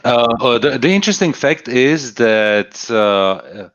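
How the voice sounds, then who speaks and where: A man's voice: mid-range voice, expressive, slow, fairly bright tone, a little hiss or hum. A man from Slovakia chatting casually on a stream, answering a chat question with many fillers and restarts. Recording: dull lo-fi stream audio.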